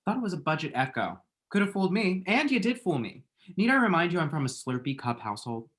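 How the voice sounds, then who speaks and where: A man's voice: on the high side, big pitch swings, fast talker, fairly bright tone. A man acting a dramatic, lovestruck man in his late twenties in a rom-com script read, rambling and quippy. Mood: excited, insistent. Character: dramatic, lovestruck, scrolling-obsessed twenty-seven-year-old man. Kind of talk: screenplay table read, rom-com dialogue.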